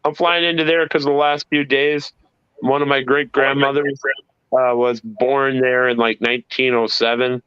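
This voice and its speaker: A man's voice: medium pitch, little pitch movement, moderate pace, smooth, no echo, muffled recording. Eager rambling North Carolina man. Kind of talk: casual livestream chat.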